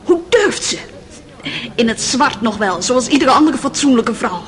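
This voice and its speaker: Radio play casting: actress as scandalised respectable gossip, snippy, somewhat high voice. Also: female voice, lively intonation, moderate pace, natural voice. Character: indignant, gossiping respectable woman in the public gallery. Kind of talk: radio drama acting.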